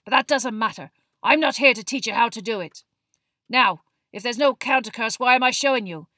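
Lady doing a gruff old teacher guy from a storybook, growly and barking, sharp and loud, dry sound. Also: a little high, a little fast, no room sound, voice pushed up. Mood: fierce, roaring. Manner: forceful, emphatic, barking. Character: gruff, fierce old battle-scarred male teacher, barking warnings.

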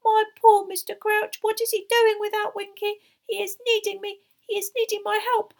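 A woman voicing a small, indignant female house elf servant for a storybook, squeaky and shrill, speaking in servile broken grammar. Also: level tone, moderate pace. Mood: wailing, distraught. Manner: wailing, broken servile grammar, third-person speech.

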